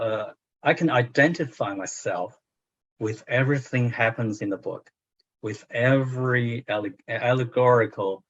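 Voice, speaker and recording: A man in his sixties, a native Chinese speaker with a Chinese accent, talking as a translator in a book club discussion, hesitant with many fillers and restarts. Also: medium pitch. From China. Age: 60s. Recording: muffled lo-fi audio.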